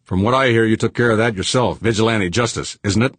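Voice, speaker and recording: A man acts out an audiobook tycoon, a proud man with a short fuse. He talks in a snappish, defensive sneer with a husky grain, and the recording is close and dry. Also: fairly low pitch, a little fast, no room sound, pitched above the performer's own voice. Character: arrogant, short-tempered male tycoon.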